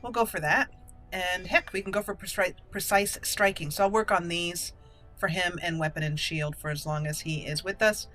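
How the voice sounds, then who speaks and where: A woman's voice: lowish voice, fairly even intonation, normal speed, pushed and bright. A woman hosting a let's-play video, commenting casually and talking to herself and the game characters as she plays. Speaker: gaming video host. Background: game music.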